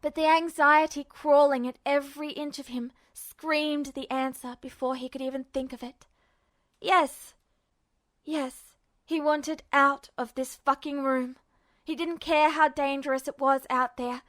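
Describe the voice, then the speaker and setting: A woman reading fan fiction aloud as scripted narration, with dramatic, expressive storytelling. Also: high-pitched, a little slow. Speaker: amateur audiobook narrator.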